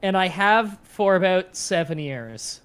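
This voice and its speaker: A man in his twenties, loud and self-deprecating, swearing freely in casual gaming chat with a co-player. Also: high-pitched, lively intonation, slow pace, clean tone. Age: 20s. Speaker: guest co-player.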